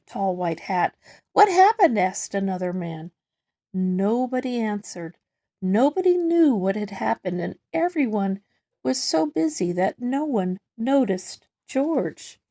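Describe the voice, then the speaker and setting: A woman's voice: medium pitch, warm tone. A woman reading a children's story aloud, expressively stressing key words for young listeners. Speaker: storybook reader. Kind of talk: children's read-aloud narration.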